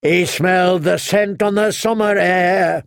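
A man voicing a boisterous court fool who belts out a ballad in a loud sing-song, in an audiobook. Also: sits high, fairly even intonation, unhurried, creaky, clear, resonant tone, dry recording, clean studio sound, pitched above the performer's own voice.

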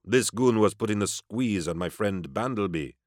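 A man's voice: fairly low pitch, expressive, normal speed, no room sound, studio quality, natural voice. A man voicing a big, grizzled security enforcer, curt and commanding, in an audiobook. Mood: gruff, suspicious.

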